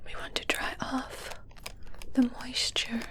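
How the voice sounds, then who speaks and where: A woman playing an evil witch in an ASMR roleplay, speaking in a breathy, deliberate whisper with a smug, softly condescending manner. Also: close to an everyday voice. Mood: calm, instructive. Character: whispering evil witch, smug, coolly teasing, testing potions.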